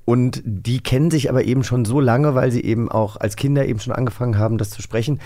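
A man's voice: medium pitch, fast, mellow. A man hosting a casual interview, putting questions in a conversational way. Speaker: interview host.

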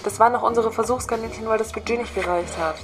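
A woman's voice: fairly high pitch, quick pace, faint music behind, lightly acted. A woman playing a cocky, bossy female secret agent in a comic audio-drama sketch, brash and self-assured. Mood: bossy, suspicious.

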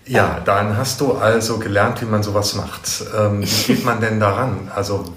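A man's voice: fairly low pitch, steady tone, normal speed, fairly bright tone. A man hosting a casual interview, informal and tentative as he searches for his words. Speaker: interviewer and host. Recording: roomy echo, audible background noise.

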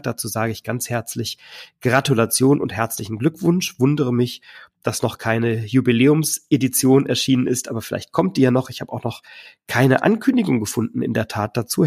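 A man hosting a board game podcast, talking in a chatty, enthusiastic way, hoarse and stuffy from a cold. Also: mid-range voice, a little mellow. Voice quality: husky, gravelly. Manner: chatty, enthusiastic, some filler words. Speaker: podcast host. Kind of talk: casual solo podcast talk.

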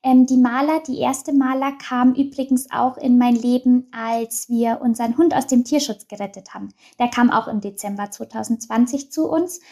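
Chatty young woman with a high voice. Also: fairly even intonation, moderate pace, hardly any pauses, mellow. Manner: open, chatty, many fillers and restarts, laughs often. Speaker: interview guest. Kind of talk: casual personal interview.

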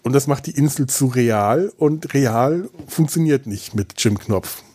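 A man speaking casually as a podcast host, thinking aloud in a halting way and repeating words as he searches. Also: mid-range voice, expressive, darkish tone, slightly rough.

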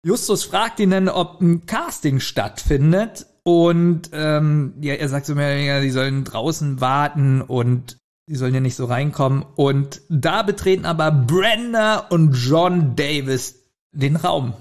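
A man's voice: fairly high pitch, measured pace. A man co-hosting a podcast, recapping an episode in casual chat. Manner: laughs often. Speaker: podcast co-host.

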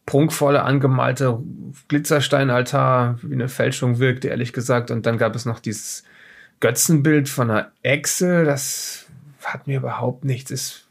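A man playing an evasive, rambling researcher under questioning in an improvised radio play, speaking haltingly and backtracking. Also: medium pitch, steady tone, creaky. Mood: unsure, explaining. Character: evasive, rambling male researcher being questioned after strange events. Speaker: player in an audio-drama let's play of a solo horror adventure.

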